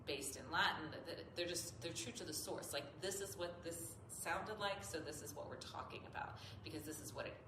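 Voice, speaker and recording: A woman's voice: low-pitched, fairly quick, hardly any pauses. A woman with a slightly nasal voice explains as a yoga teacher in an informal, earnest, rambling tutorial. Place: echoey indoor yoga studio. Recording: roomy echo, clearly noisy background.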